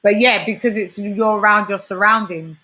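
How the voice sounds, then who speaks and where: Bright-voiced London woman chatting. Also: medium pitch, steady tone, normal speed, low-quality recording. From West London. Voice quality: chatty, reading out comments. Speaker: livestream host. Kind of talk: casual chat.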